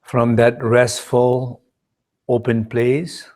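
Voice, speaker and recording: A man leads a guided meditation as a teacher, speaking in measured short phrases with pauses between them. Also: mid-range voice, flat delivery, darkish tone. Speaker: meditation teacher leading a retreat.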